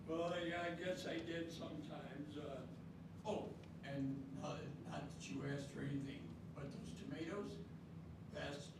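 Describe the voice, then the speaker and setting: Older man doing a halting, grudge-holding old friend; distant mic, noisy echoing room. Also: on the high side, steady tone. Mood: wry. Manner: dry, wry, halting. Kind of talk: amateur readers theatre. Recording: echoey hall from far off, noisy room.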